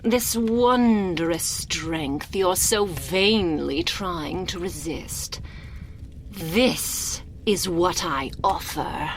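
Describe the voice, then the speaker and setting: A woman playing an imperious, seductive and menacing vampire noblewoman in an ASMR roleplay, haughty and theatrical, with drawn-out phrasing. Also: lowish voice. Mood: grand, commanding. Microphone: binaural microphone. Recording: close binaural mic, faint background noise.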